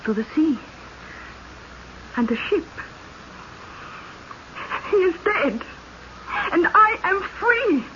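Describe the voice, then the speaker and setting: An actress playing a gentle, haunted immigrant woman with a Spanish accent and halting, simple phrasing in a radio drama. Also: extremely high pitch, very animated, exaggerated voice. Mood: quiet resolve. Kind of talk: old-time radio drama acting. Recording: muffled, noisy vintage radio recording.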